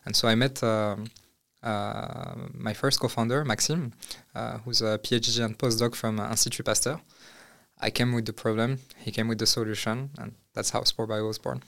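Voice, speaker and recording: A man's voice: mid-range voice, little pitch movement, moderate pace, creaky, a bit bright. A young man from Morocco, a startup founder answering interview questions with many fillers and restarts.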